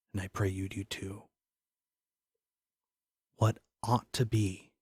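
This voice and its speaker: Man acting a deep, grim, defiant doomed man. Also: darkish tone, slightly rough, dry recording, studio quality. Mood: defiant, solemn, then grave. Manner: solemn, deliberate. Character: defiant doomed man reading his grim final testament.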